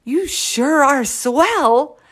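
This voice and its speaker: A woman's voice: high voice, animated, drawn-out words, fairly bright tone, voice pushed up, heavily acted. A woman voicing eager, childlike little chicks with a chirping manner in a storybook. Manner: chirping, squealing, pleading.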